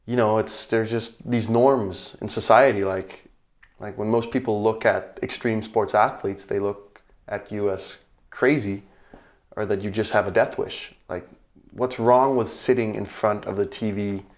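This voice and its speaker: A man with a Danish accent talks on a podcast in a casual, reflective way. His voice sits a little low. He often pauses to find the right words and laughs easily between thoughts. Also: fairly even intonation. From Denmark. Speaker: podcast guest, paddler. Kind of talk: casual conversation.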